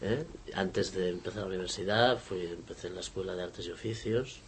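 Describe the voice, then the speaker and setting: A man's voice: sits low, fairly quick, slight background noise. A man in his sixties from Barcelona, an architect being interviewed, who has a cold and talks hesitantly, often repeating words as he searches for what to say. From Barcelona, Spain. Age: 60s. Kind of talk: conversational interview.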